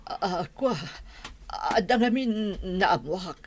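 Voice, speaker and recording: A woman's voice: mid-range voice, expressive, slow, slight background noise. A woman playing the fretful, inquisitive madam of a household in a radio drama, fussy and easily flustered. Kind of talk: Ilocano radio drama acting.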